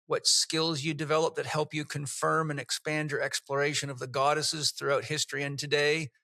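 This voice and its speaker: A man's voice: on the high side, flat delivery, fairly quick, gravelly, pushed and bright, no room sound. A man hosting a podcast interview, enthusiastic and effusive as he introduces and questions his guest. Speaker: podcast host.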